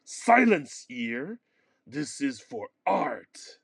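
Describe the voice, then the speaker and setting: A man's voice: medium pitch, very animated, very slow, creaky, breathy edge, dry recording, pitched above the performer's own voice, heavily acted. A man playing a manic, lovelorn painter in a stage comedy, melodramatic and stammering with feverish passion. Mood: manic resolve.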